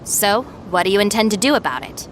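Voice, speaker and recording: A woman's voice: medium pitch, expressive, fairly quick, slight background noise, close to an everyday voice. A woman playing a sensible, wry young second-in-command of a gang in a video game, matter-of-fact and polite. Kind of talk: animated video game voice acting.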